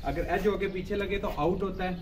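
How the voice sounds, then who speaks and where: A man's voice: high voice, fairly even intonation, a little fast. A young man with a Pakistani accent, bantering casually during a game on an open rooftop, picked up by a distant camera. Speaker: vlogger and amateur cricket player. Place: open concrete rooftop outdoors. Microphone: camera several metres away. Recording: echoey, distant camera mic, noisy outdoors.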